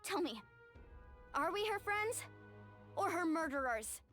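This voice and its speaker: A woman's voice: very high-pitched, big pitch swings, a little slow, fairly bright tone, full character voice. A woman playing a passionate, loyal young warrior in an English video game, with an earnest, emotional delivery. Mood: anguished, accusing. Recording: music under the voice.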